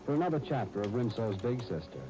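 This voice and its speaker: A man working as a 1930s radio announcer, narrating and pitching in a genial, polished style. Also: lively intonation, quick pace, rough recording. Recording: 1939 radio broadcast with music. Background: music.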